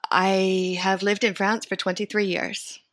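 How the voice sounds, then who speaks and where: A woman's voice: medium pitch, level tone, a little slow, fairly bright tone, no room sound. A middle-aged American woman chatting as an interview guest, talking freely and thinking aloud with fillers. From United States and Sweden. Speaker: interview guest, company founder. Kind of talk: casual conversational interview.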